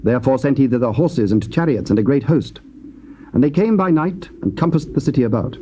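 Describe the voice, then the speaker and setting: Man reading scripture slow and stately, dark mellow voice, faint tape hiss. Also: medium pitch, animated. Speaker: narrator.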